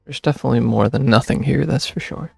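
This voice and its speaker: Gravelly male game streamer. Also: mid-range voice, quick pace, warm tone, no echo. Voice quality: gravelly, husky. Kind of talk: casual live gameplay commentary.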